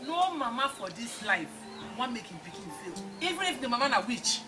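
Nigerian mother, high lively voice, protesting, music underneath. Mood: scolding. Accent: Nigerian Pidgin. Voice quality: loud, protesting, rapid Pidgin. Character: defensive mother of a ruined son. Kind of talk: film drama acting.

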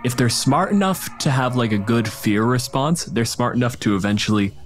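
A man's voice: medium pitch, normal speed, faint music behind. A man acting as game master, narrating and asking questions in an improvised, conversational tabletop style. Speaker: game master and podcast host.

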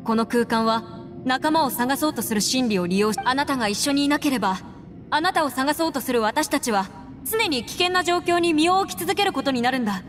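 A woman's voice: sits high, animated, fairly quick. A woman voicing a composed, resolute young adventurer in a Japanese anime game, speaking in an articulate, slightly formal, earnest way. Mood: urgent, earnest. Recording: long reverb, music behind.

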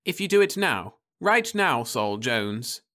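A man's voice: mid-range voice, sing-song, slow, bright, forceful tone, voice pushed up, exaggerated voice. A man doing a squealing, greedy young wife. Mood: coaxing. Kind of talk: audiobook character voice.

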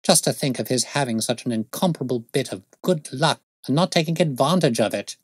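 A man voicing a brilliant, impatient gentleman detective in an audiobook story, speaking in a brisk, commanding, articulate way. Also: medium pitch, animated, dry recording, clean studio sound, voice pushed up, close to an everyday voice. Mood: scornful.

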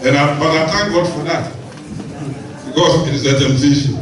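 A man's voice: fairly high pitch, fairly even intonation, moderate pace, noisy background. A middle-aged man preaching a sermon into a handheld microphone in a large church hall, emphatic, repeating key phrases and asking the congregation questions. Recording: very echoey church hall, mic at a distance.

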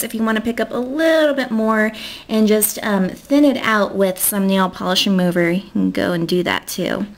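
A young American woman giving a casual, chatty step-by-step tutorial in an informal way. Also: medium pitch. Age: young adult. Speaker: nail art tutorial host.

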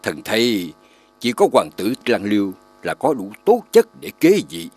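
Formal, loyal royal envoy. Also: male voice, on the low side, huge pitch swings, measured pace, darkish tone, husky, faint music behind, exaggerated voice. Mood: earnest, respectful. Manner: formal, respectful. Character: loyal, deferential royal envoy reporting to the king. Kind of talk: animated fairy-tale acting.

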